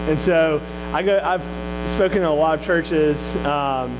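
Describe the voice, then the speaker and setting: A guest preacher speaks from a church pulpit, nose stuffed up from a cold. The delivery is earnest and conversational, with dry asides slipped in between points. A loud, steady electrical hum runs under the whole recording. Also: medium pitch, unhurried. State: congested from a cold. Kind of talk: sermon. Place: church sanctuary.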